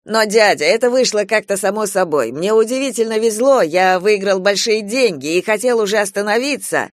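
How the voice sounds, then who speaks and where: A man voicing a young, dissolute gambler nephew, theatrical and pleading, with drawn-out emphatic delivery. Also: high voice, animated, dry recording. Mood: agitated, confessing.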